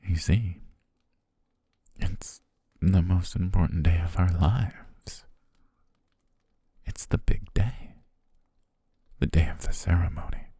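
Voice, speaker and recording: A man performs an obsessive, doting butler in an intimate ASMR romance. His voice is dark, deep and very husky, often sinking toward a whisper. He coaxes tenderly with lingering pauses and a soft menace, close on the mic. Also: no echo, exaggerated voice. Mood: calm controlling. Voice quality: breathy, husky, gravelly, often near whisper. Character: obsessive, doting male butler, softly menacing captor-fiancé. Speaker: ASMR roleplay voice actor. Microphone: close, dry studio microphone.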